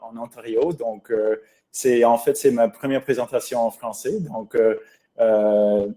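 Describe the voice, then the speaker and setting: Hesitant man with a mellow voice. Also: medium pitch, fairly even intonation, measured pace. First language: English. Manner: hesitant, many fillers, searching for words. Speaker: pulmonologist presenting a webinar. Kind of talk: webinar lecture.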